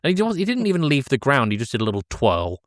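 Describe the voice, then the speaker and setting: A man's voice: mid-range voice, very animated, quick pace, no room sound, clean studio sound. A man co-hosting a podcast, casually recapping a film in a jokey, loose storytelling way. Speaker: podcast co-host.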